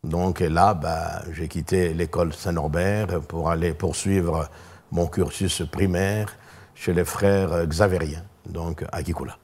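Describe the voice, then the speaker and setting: Low-voiced older Congolese pastor. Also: male voice, steady tone, a little mellow, breathy edge. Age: 60s. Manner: many filler pauses, measured storytelling. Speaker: pastor guest. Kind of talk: hesitant, thoughtful recounting. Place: television studio.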